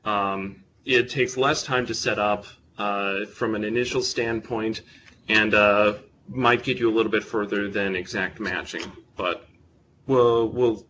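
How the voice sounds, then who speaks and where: A young man with a bright, forceful voice presents to a room, talking casually and joking at his own expense. He stands close to his mic, so the sound is full, though the recording is a little dull with faint room noise underneath. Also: on the low side, fairly even intonation, measured pace. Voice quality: big voice. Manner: conversational, self-deprecating humor. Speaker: presenter at a user group meeting. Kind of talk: technical talk to a live audience. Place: meeting room with an audience. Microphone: close microphone.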